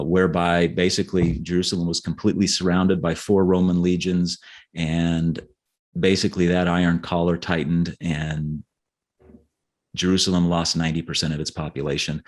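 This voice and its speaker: A man's voice: low-pitched, fairly even intonation, moderate pace. A man talking as an author in a conversational podcast interview, earnest and explanatory, often restarting his phrases.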